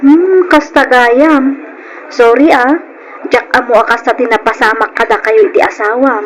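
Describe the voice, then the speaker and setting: A woman's voice: on the high side, normal speed, natural voice, rough recording. A Filipino woman playing a soothing, attentive confidante in an old radio drama. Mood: sympathetic.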